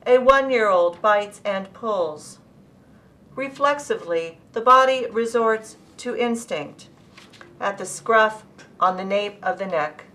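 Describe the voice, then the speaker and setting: A woman poet reads her own verse in a bright, forward voice at a middle pitch. Her delivery is very slow and deliberate, with each phrase set apart. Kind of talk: poetry reading of own work.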